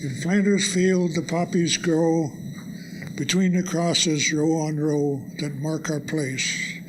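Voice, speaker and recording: An older man reciting verse from memory to an audience, with measured, deliberate phrasing. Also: a little high, fairly even intonation. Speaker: audience member. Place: live college lecture event. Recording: clearly noisy live event recording.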